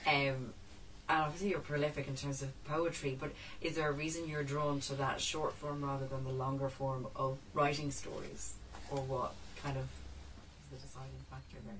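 Woman, very low voice, measured, distant, faint background hiss. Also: level tone, fairly bright tone. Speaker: audience member asking a question. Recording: distant room mic with faint noise.

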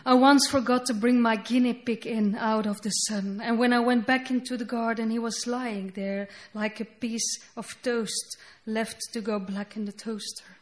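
A woman whose first language is Dutch, speaking English with a Dutch accent as she reads her poetry slowly and deliberately on a festival stage. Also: a little high, steady tone. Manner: slow, deliberate reading with halting English asides. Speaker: poet. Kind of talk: poetry reading. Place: festival stage before an audience.

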